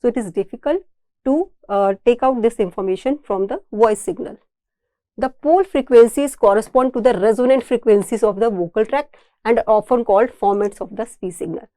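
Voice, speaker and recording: A woman's voice: a little high, normal speed, warm tone, no room sound. A woman with an Indian accent giving an explanatory technical lecture, repeating and rephrasing her points in a didactic way. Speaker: engineering lecturer.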